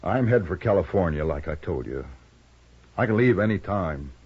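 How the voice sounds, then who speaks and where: An actor playing a polite, plainspoken frontier drifter with an American accent and folksy, hesitant manner in a 1950s radio western. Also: male voice, sits low, animated, moderate pace, breathy edge. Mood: earnest, courteous. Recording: muffled 1950s radio recording.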